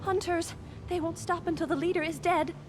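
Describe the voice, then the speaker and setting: A haunted woman castaway in a sci-fi game. Her voice is high, fearful and accusing, with clear music underneath. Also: fairly even intonation, fairly quick. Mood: frightened. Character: haunted, accusing female castaway warning of hunters.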